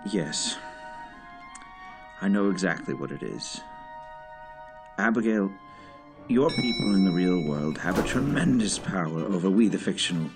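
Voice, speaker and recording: A man's voice: low voice, animated, a little slow, pauses often, over music. An actor playing a stern, weary older male director in an audio drama, speaking formally and with grave authority. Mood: weary, solemn.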